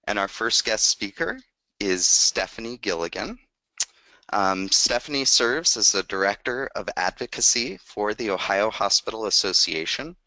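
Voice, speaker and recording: Male webinar host, lowish, crisp voice, plain reading of a guest bio, dry sound. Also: sharp tone, dry recording, poor audio. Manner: plain, reads a guest introduction. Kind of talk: webinar hosting and introductions.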